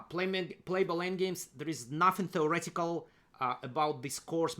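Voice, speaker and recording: Bright-voiced Russian-accented man, halting. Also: a little high. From Soviet Union (Kazakhstan). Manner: halting, many fillers and restarts. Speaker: chess grandmaster presenting his courses. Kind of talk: casual screen-share walkthrough.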